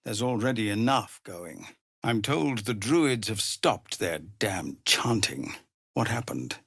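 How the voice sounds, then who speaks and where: Weary tiefling commander, honourable, formal and earnest, widely varied intonation, deliberate pace, dry close studio sound, dramatic game acting. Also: male voice, fairly low pitch, lively intonation, fairly bright tone, slightly rough, dry recording, exaggerated voice. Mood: weary, firm.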